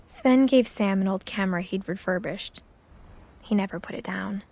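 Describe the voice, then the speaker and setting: A woman playing a thoughtful young woman who quietly narrates her family's past in a game. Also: medium pitch, moderate pace, gravelly, a little hiss or hum, close to an everyday voice. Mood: quiet, wistful.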